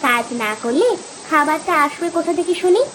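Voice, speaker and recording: A woman playing a bossy, sharp-tongued village housewife who scolds in a cartoon story. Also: high-pitched, animated, brisk, background noise. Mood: nagging. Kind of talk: animated moral-story cartoon dialogue.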